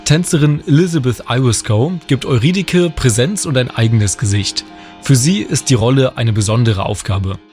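A man's voice: medium pitch, normal speed, darkish tone. A man narrating a scripted regional culture news report as its reporter. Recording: voice-over with music underneath. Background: music.

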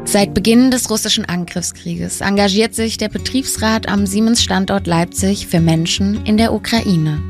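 Woman reading a news report over guitar. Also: mid-range voice. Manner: calm, measured narration. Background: soft guitar music.

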